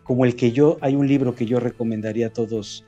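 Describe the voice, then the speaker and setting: A man with a Mexican accent, a writer answering interview questions in a reflective, halting way with frequent restarts. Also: medium pitch, fairly even intonation, dark tone, muffled recording, soft background music. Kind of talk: conversational interview.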